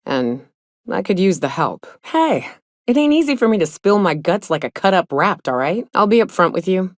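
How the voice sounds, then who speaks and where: Tough, guarded female hunter for a space game; low register, blunt folksy drawl, close dry recording. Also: no room sound. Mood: guarded, earnest. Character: tough, gruff, guarded woman monster hunter in a spaceship crew. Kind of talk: video game character dialogue.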